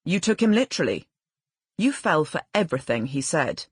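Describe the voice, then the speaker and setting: Exasperated brother played by a female narrator, argumentative and blunt, husky voice, animated audiobook dialogue. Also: low voice, moderate pace, no room sound, studio quality, full character voice.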